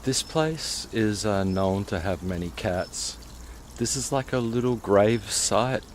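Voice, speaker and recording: A man's voice: slow pace, a little hiss or hum. A man, born in Hong Kong, chatting casually as he live-streams a walk outdoors at night. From born in Hong Kong, grew up in Australia. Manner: chatty, easygoing, talks to cats in a high voice. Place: rural roads outdoors at night. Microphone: mobile streaming rig.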